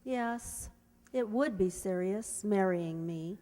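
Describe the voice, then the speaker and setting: A woman playing a self-possessed young woman, brisk and assured, in an old-time radio comedy. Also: medium pitch, mellow, natural voice. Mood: hurt, sarcastic. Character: plucky, self-possessed young woman guarding her baby. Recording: echoey hall stage recording.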